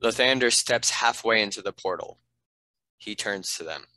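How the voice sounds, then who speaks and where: A man's voice: on the low side, normal speed, bright, forceful tone, no echo, rough recording. A man narrating the action and stage directions of a script.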